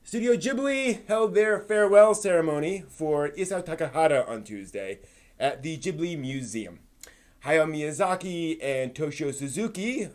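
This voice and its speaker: A man's voice: medium pitch, darkish tone. A man hosting a live stream in a conversational way, moving between news rundown and casual asides to his viewers.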